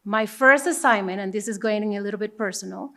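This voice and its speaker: A woman's voice: medium pitch, brisk, sharp tone. A woman from Panama, a native Spanish speaker and corporate executive, talking on a conference panel before an audience.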